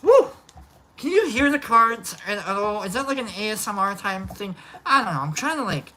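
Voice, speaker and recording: A live stream host with a nasal, bright, sharp-edged voice talks casually to the chat, rambling and repeating greetings to viewers. The pitch sits mid-range. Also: big pitch swings, normal speed, a little hiss or hum. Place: outdoor lounge by a fire pit.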